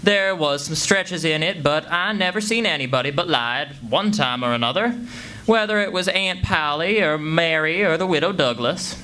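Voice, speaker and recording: An actor playing a plain-spoken, rough-edged country boy telling his tale in a folksy, ungrammatical drawl in a radio play. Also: a little high, moderate pace, bright, forceful tone, low-quality recording. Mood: folksy, matter-of-fact. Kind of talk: radio play monologue before live audience.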